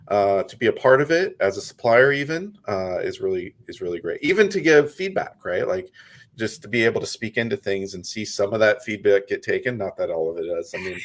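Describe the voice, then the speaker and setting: A man's voice: lowish voice, lively intonation, a little fast. Easygoing man hosting an interview. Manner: easygoing, many fillers. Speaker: podcast host. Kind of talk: casual interview chat.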